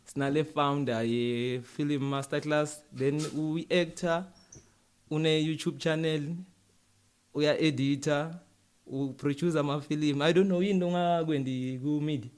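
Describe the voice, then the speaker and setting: A man's voice: a little high, steady tone, unhurried, clear, resonant tone. A man with a South African accent hosting a casual interview and switching between languages as he talks. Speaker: podcast interview host.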